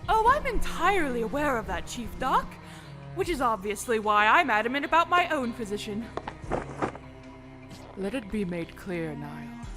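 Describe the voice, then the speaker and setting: A woman's voice: on the high side, sing-song, normal speed, over music. A woman playing an eccentric army major in an audio drama, teasing and sing-song with a steely side. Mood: mock-polite, resolute. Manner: sing-song, mock-polite, theatrical.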